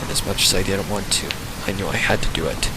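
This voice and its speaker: Loud rain and thunder surround a man with a lowish voice. He narrates a dark first-person story in a dramatic reading style. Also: fast talker, noisy background. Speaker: fan fiction reader. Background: storm sound effects.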